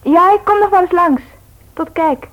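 An actress playing a chatty, cheeky young sister in a radio play, lively and helpful. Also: female voice, very high voice, moderate pace, poor audio. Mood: cheery.

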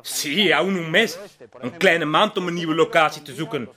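A man's voice: fairly high pitch, moderate pace, sharp tone. An actor in a crime drama playing an impatient male criminal plotter who speaks urgently and slips into Spanish exclamations. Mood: urgent, tense. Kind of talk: Flemish crime drama acting.

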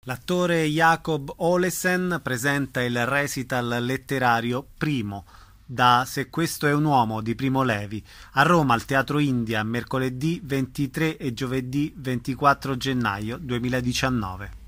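A man's voice: mid-range voice, unhurried, fairly bright tone, no echo. A man hosting a radio arts programme, interviewing guests and reading event announcements.

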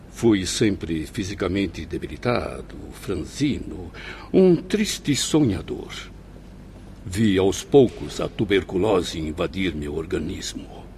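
Radio drama: pompous, melancholy scholar, low pitch with wide swings, formal delivery, faint music underneath. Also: male voice, creaky, a little mellow, a little hiss or hum, exaggerated voice. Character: elegant sickly aristocratic professor, a melancholy proud atheist.

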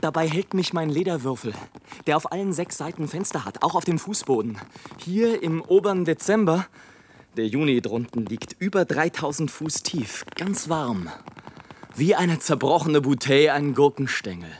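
An actor playing a rapturous, scornful airship balloonist in a theatrical, declamatory radio play monologue, swinging between grand exultation and hush. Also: male voice, a little high, animated, normal speed, a little hiss or hum, lightly acted. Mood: musing, confiding. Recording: studio radio play with music.

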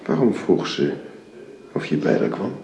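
An actor in a radio drama playing an anguished, middle-aged husband and father, speaking haltingly and pleadingly. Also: sits low, huge pitch swings, creaky, full character voice. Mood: anxious, probing. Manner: halting, pleading, repeats himself. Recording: roomy echo, faint background noise.

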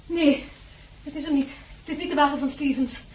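A woman's voice: sits high, steady tone, a little fast, natural voice. An actress in a radio play playing an anxious, frightened wife, polite but urgent in her worry. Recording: noisy radio play recording.

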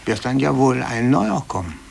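Casting: middle-aged office man, pleading and pushy, lively gravelly delivery, 1950s radio play. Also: medium pitch, slight background noise. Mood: wistful, hesitant. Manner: halting, insistent, seeking agreement with tag questions. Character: needy, pushy middle-aged office employee quitting his job. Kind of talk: 1950s German radio drama acting.

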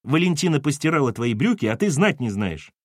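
Casting: exasperated mother read by a male narrator, brisk, scolding, commanding, animated intonation, audiobook. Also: medium pitch, dry recording, clean studio sound.

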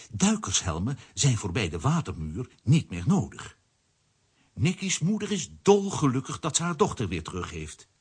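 A man narrating a children's adventure audio drama in a theatrical storytelling manner, with a husky, rough voice. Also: medium pitch, exaggerated voice.

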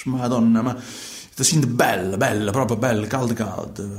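An actor playing a naive young man who drives migrants and dreams of singing, with a southern Italian accent, in an excitable, repetitive, colloquial radio theatre style. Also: medium pitch, normal speed, echoey room, natural voice. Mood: nervous, grumbling.

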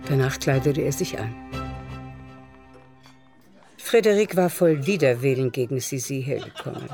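Mellow, creaky-voiced woman narrator. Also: a bass voice, brisk. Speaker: narrator of a radio play. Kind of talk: scripted literary narration. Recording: faint music under narration. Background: faint music.

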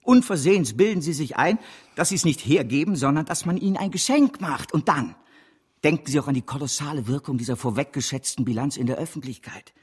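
A grandiose financier in a radio play. His husky voice moves in wide sweeps of pitch. He is impatient and bombastic, always pushing to persuade. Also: expressive, fairly quick. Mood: fervent, impatient. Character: grandiose, scheming bank director intoxicated by speculation. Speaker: bank director. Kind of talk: German radio drama acting.

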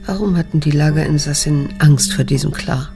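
An actress playing a bitter, weary middle-aged daughter in a radio drama, restrained and measured in dialogue and inner monologue. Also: female voice, sits low, music in the background. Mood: probing. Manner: restrained, measured, cool, introspective. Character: bitter, weary grown daughter, probing and self-controlled.

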